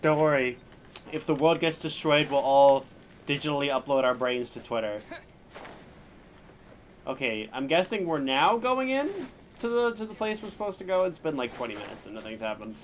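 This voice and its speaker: A man's voice: fairly high pitch, big pitch swings, moderate pace, slight background noise. A man livestreaming a game, chatting casually in rambling, joking asides, with faint game sound behind.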